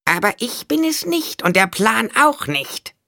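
A man voicing a scheming male dwarf in an audiobook, with a squeaky, gravelly falsetto and a sly, wheedling manner. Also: big pitch swings, unhurried, bright, forceful tone, dry recording, clean studio sound, heavily acted. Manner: wheedling, unruffled.

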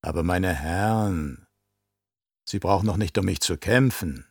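A man voicing a seductive, calculating captive woman in an audiobook, soft and coaxing. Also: low voice, expressive, a little slow, husky, no room sound, clean studio sound, voice pushed down, heavily acted. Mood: sultry, provoking.

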